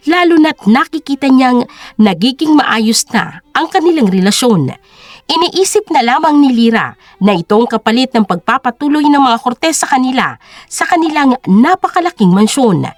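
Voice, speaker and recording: Casting: female audio-drama narrator, high pitch, lively melodic delivery, dry close sound. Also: dry recording. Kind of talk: scripted dramatic story narration.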